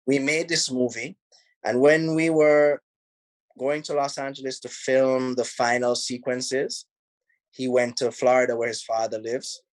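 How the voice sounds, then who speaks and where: Jamaican man, film director on a video call, easygoing and chatty, mid-pitched, dry sound with no room. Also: measured pace, no room sound, low-quality recording. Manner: relaxed, rambling, many "you know"s, laughs easily. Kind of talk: casual interview answers. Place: home in Los Angeles.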